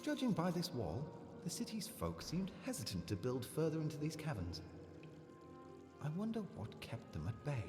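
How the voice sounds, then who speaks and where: A man's voice: a little high, huge pitch swings, moderate pace. A man voicing a genteel, mild-mannered bug explorer in a video game, with polite, leisurely, old-fashioned phrasing. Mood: genteel, musing. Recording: echoey reverb with music behind.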